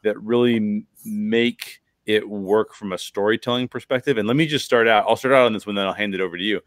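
A middle-aged man with a fairly low voice talks as a podcast host, analysing in a conversational, explanatory way. He repeats himself for emphasis, and the close microphone gives a dry sound. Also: fairly quick, dry recording. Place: bedroom, video call.

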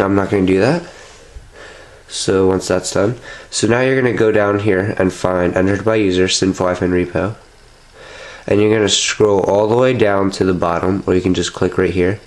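A young man with a stuffed-up nose from a cold, walking through a tech how-to in a loose, chatty way full of filler sounds, recorded indoors at home on a nearby camera. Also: lowish voice. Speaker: tech tutorial host. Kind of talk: casual step-by-step how-to.

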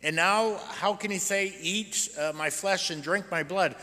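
Bright-voiced older American pastor in reverberant church. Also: male voice, high-pitched, unhurried. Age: 60s. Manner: animated, asks rhetorical questions. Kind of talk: sermon, conversational and explanatory. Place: indoors at a church pulpit. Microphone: gooseneck pulpit microphone.

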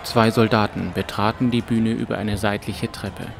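Low-voiced male fantasy audiobook narrator. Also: little pitch movement, moderate pace, vocal fry, noisy background. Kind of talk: scripted fantasy story narration. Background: music and ambience.

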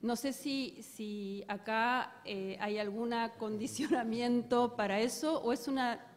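Argentine woman, audience question, slow, hesitant, polite, hall reverb. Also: a little high. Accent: Argentine Spanish. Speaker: audience member asking a question. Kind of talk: question from the floor.

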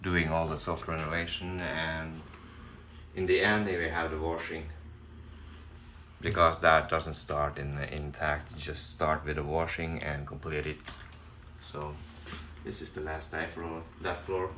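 A man's voice: extremely low pitch, flat delivery, measured pace, bright, forceful tone, reverberant, far from the mic, slight background noise. A man with a Norwegian accent whose first language is Norwegian, explaining a construction plan briefly and plainly. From Norway. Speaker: construction project manager. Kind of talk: explaining work on a video call.

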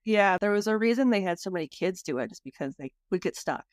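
A woman's voice: medium pitch, animated, quick pace. A woman hosting a podcast in a casual, chatty style. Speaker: podcast host. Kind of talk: casual chat.

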